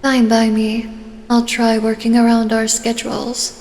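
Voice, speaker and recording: A woman playing a reserved, dutiful fighter in an audio drama, speaking in an even, formal manner. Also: fairly high pitch, a little slow. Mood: matter-of-fact. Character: reserved, dutiful female long-range fighter. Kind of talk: scripted fan audio drama acting. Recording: reverberant hall, faint noise.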